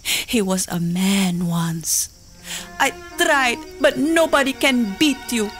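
A fierce, desperate young island woman pours out her heart in slow, poetic pleading. Her voice sits slightly high and unhurried. Faint music plays beneath this audio drama. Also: a bit bright. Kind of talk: full-cast audio drama.

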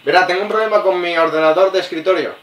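A man from Spain with a Spanish accent voicing a halting, bumbling, clueless customer on a prank call to computer support. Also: high voice, fairly bright tone. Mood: confused. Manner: halting, slow to answer, playing confused. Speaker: prank caller. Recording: echoey, noisy phone prank recording.

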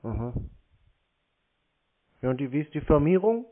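A man's voice: a little high, sing-song, normal speed. A man chatting on the phone in a sarcastic, needling way. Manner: sardonic, needling. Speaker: scambaiter taking scam calls. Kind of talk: sarcastic prank phone chat. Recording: tinny narrow phone line.

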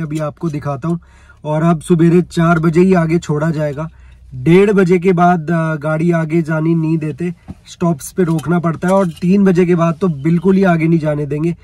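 A man's voice: fairly high pitch, darkish tone, dry recording. A man vlogging a road trip, chatting casually to viewers while filming outdoors and around a rest house. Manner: chatty, keeps saying "so ji" and "dekh sakte ho". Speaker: travel vlogger. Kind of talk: handheld travel vlog narration.

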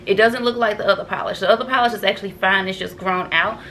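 A woman's voice: mid-range voice, fairly even intonation, fast, fairly bright tone, slight background noise, poor audio. A woman, chatting to camera at home in a loose, rambling vlog style and calling viewers "y'all". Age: 30s. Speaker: vlogger. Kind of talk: casual chat to camera. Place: bedroom at home, indoors.

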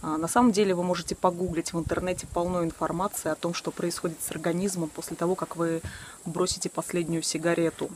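A woman's voice: lowish voice, flat delivery, fast talker, a little mellow, a little hiss or hum. A woman in her early forties talking casually and candidly to a camera on a selfie stick, outdoors in a garden, with a few self-mocking laughs. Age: 40s. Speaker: vlogger. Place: outdoors by a wooden shed in a garden. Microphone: selfie stick camera at arm's length.

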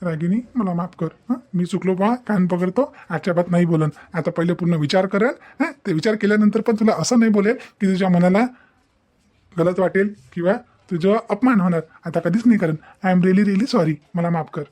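A man's voice: high voice, a little fast, darkish tone. A man voicing an earnest, remorseful young husband in a cartoon domestic story, pleading repetitively.

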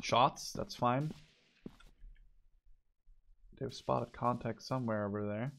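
A man's voice: medium pitch, steady tone, slow pace, gravelly, fairly bright tone. A young man giving casual, informal let's play commentary on a game. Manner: informal, sweary. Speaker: gaming video creator.

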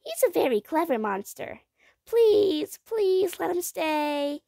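Woman as a high, pleading young girl. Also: very animated, takes it slowly, warm tone, no room sound, studio quality, full character voice. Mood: hopeful, pleading. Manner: insistent, emphatic pleading. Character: pleading, passionate young girl who wants a friend.

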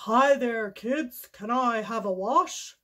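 Woman voicing eager, high, bright storybook animal. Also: slow pace. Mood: cheerful, asking. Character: eager friendly storybook animals asking to join in.

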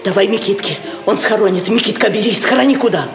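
A woman's voice: medium pitch, fast, over music, lightly acted. An actress playing a scheming old Russian peasant mother in a 1950s Soviet radio drama, folksy and insistent, with rustic dialect. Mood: impatient. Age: older. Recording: roomy vintage radio studio recording.

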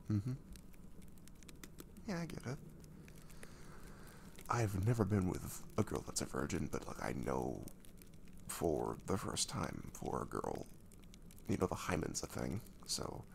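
A man playing a gentle, caring boyfriend in an intimate ASMR roleplay, speaking softly and breathily, often half-whispering, with casual, hesitant reassurance. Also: low-pitched, gravelly, slight background noise, exaggerated voice. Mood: gentle, reassuring. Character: caring, gentle young boyfriend comforting his girlfriend.

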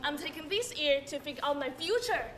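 Young woman acting on a stage, very high voice. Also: expressive, brisk. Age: young adult. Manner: exaggerated stage acting with sighs and screams. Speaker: student actor playing Bella. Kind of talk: school stage skit in English. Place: large indoor stage.

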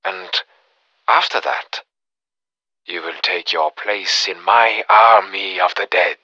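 Forceful, menacing German Nazi officer. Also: male voice, low voice, very animated, creaky, no echo, dull audio, heavily acted. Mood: threatening, persuasive. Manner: theatrical, commanding, contemptuous, deliberate. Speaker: villain character in a video game. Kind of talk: video game villain voice acting, menacing monologue.

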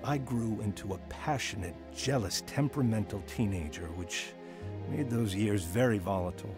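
A man's voice: fairly low pitch, moderate pace, close to an everyday voice. An actor playing a reflective, remorseful man who narrates his own youth in a radio drama. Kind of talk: scripted first-person drama narration. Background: music.

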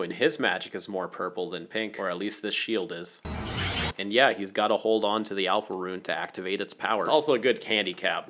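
A young adult man giving informal, slangy commentary as the host of an episode reaction show, recorded in a small home room. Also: low-pitched.

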